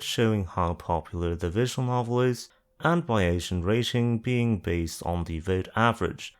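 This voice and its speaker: A man calmly explaining a website's search features as a voice-over for a screen-recorded tutorial, listing the options one by one. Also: lowish voice. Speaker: video guide host. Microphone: voice-over over screen capture.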